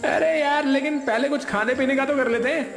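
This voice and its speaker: A man voicing a squeaky, cheeky young male slacker who talks in street slang in a cartoon story. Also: a little fast, roomy sound, big-room sound, faint music behind. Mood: wheedling. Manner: colloquial street slang, drawling pleas. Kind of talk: animated story character dialogue.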